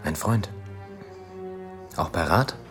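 An actor playing an earnest, self-deprecating, wounded male policeman, quietly lovesick, in a radio drama. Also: low-pitched, animated, slow pace, faint music behind, exaggerated voice. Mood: wounded, sullen. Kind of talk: German radio drama acting.

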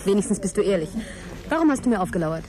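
An actress playing a guarded, sceptical middle-aged scientist in a naturalistic radio drama, direct and questioning in conversation. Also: female voice, mid-range voice, very animated, fast, close to an everyday voice, low-quality recording. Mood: startled, wary. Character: guarded divorced female scientist, sceptical, frank, wary. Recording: crowd chatter behind, café scene. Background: café crowd chatter.

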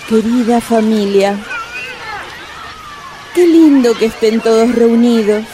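A woman's voice: on the high side, slow pace, background noise. A woman with an Argentine accent playing a sardonic, theatrical elderly mother in a radio drama monologue. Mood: wistful reproach. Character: mischievous elderly mother reading her mocking farewell letter. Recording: music behind the voice.

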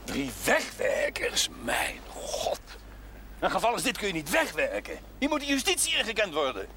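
An actor playing a principled, indignant village doctor in a television drama, speaking formally and emphatically. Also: male voice, sits high, wildly swooping pitch, moderate pace, crowd noise. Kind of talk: 1970s television drama acting. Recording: faint noise on old TV audio.

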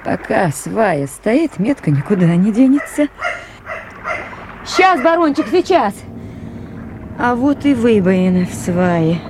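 A woman's voice: mid-range voice, sing-song, slow, pauses often. An actress playing a lone woman at a river station in a Soviet radio play, speaking tenderly and with brave unease, as if to a dog and to herself. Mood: thoughtful, resolute. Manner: talking soothingly to a dog and to herself. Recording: noisy 1980s radio play, outdoor water ambience.

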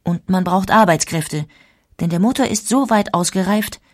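Fairly low-voiced female documentary narrator. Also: normal speed.

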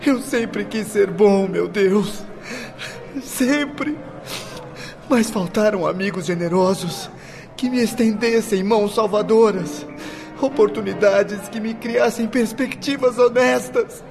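A despairing, repentant young prisoner in a radio drama. He pleads slowly in a high, creaky voice, with faint music underneath. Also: heavily acted. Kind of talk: Brazilian radio drama acting.

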